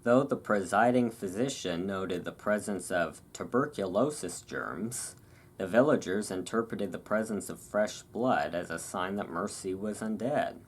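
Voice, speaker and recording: Low-pitched ASMR narrator. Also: expressive, unhurried, husky. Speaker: host reading aloud. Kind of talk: ASMR reading of historical article.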